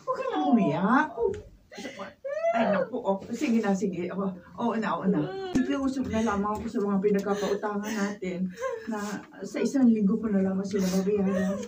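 A woman's voice: medium pitch, expressive, normal speed, a crowd in the background, natural voice. A woman with a Filipino accent playing a meek, doting mother in a radio drama, pleading and stammering hesitantly. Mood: pleading, soothing. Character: meek, doting, struggling middle-aged mother. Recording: dull, distant camera mic, faint noise.

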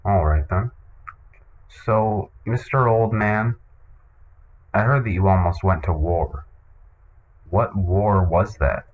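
A man hosting a comedic podcast in a casual, deadpan and hesitant way, on a muffled recording. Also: deep voice, slow pace, long pauses. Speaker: podcast host. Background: music.

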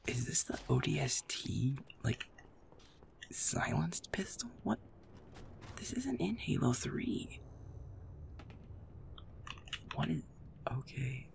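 A man acting as a sleepy young werewolf boyfriend in an intimate audio roleplay. He mumbles in a slow, gravelly, husky voice full of fry. He is affectionate, self-deprecating and hesitant, chatting casually like a gamer at home. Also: medium pitch, heavily acted. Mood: mildly puzzled. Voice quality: breathy, husky, gravelly half-whisper. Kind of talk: intimate roleplay ASMR, murmured ad-lib gamer chat.

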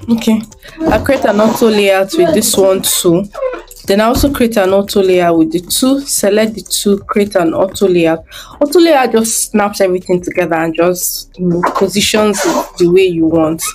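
A woman's voice: mid-range voice, expressive, moderate pace, darkish tone, a little hiss or hum, rough recording. A woman giving a screen-recorded design tutorial, thinking aloud step by step as she works.

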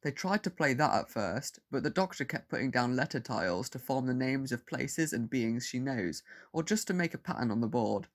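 A man with a medium-pitched voice reads scripted fiction aloud. He is the narrator of an audio story. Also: lively intonation, normal speed, hardly any pauses.

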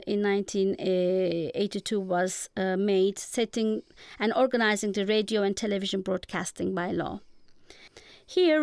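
Female professor, Turkish accent, formal radio lecture style, smooth clean tone, close dry sound. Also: medium pitch, no room sound. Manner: formal, academic. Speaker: professor, guest expert. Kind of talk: prepared lecture-like radio interview.

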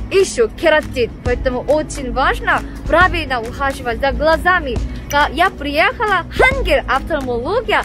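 High-voiced Korean woman vlogging in Russian. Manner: chatty, playful, expressive. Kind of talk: casual vlog narration. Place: hospital hallways and exam rooms, indoors. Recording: background music and crowd chatter.

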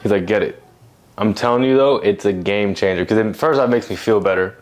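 Casting note: young man, low voice, quick and emphatic, casual podcast co-host. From Egypt. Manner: rapid, emphatic, fillers like "you know what I mean". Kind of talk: casual chat.

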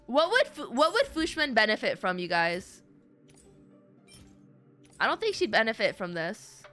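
Girl streamer woman, bright kinda high voice, chatty n playful w chat, bit of game music behind. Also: a little slow. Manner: animated, playful, chatty, addresses viewers as gamers and guys. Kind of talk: casual livestream chat over gameplay.

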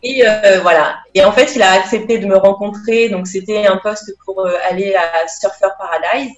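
A woman's voice: medium pitch, moderate pace. A young woman speaking casually as a guest over a video call, hesitant, with many fillers and restarts. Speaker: interview guest. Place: small room indoors. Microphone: laptop webcam mic on video call. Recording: roomy, dull lo-fi call audio with faint music.

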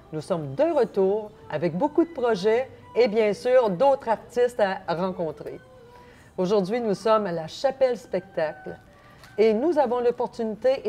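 A woman in her fifties with a Quebec French accent presents and interviews as a host. Her voice is mellow and relaxed, at a medium pitch. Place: empty small theatre hall, indoors.

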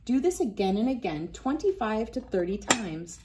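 A woman's voice: on the high side, very animated, normal speed, background noise. A woman in her thirties reads a picture book aloud to young children, instructing them and adding casual asides as she demonstrates. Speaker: children's read-aloud host. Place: small wood-panelled room indoors.

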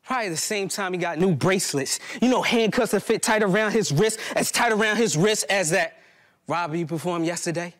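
Male spoken-word poet, thirties, high-pitched voice, emphatic and rhythmic stage delivery with dramatic pauses. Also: a bit bright. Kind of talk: theatrical spoken-word poetry monologue. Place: live stage in a plain hall before a small seated audience.

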